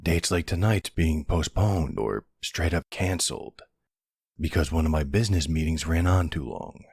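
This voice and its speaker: A man playing a soft-spoken, courteous mafia boss in love, in an intimate boyfriend roleplay, with a low, gravelly, hushed voice and a tender, hesitant, earnest manner. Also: expressive, normal speed, no room sound, studio quality, heavily acted. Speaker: audio roleplay voice actor.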